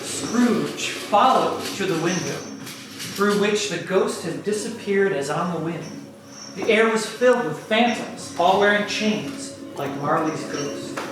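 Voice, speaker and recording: A man's voice: high voice, animated, slow, music in the background. A man reading scripted narration for an amateur dramatic play reading. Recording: very echoey room, distant mic, faint noise.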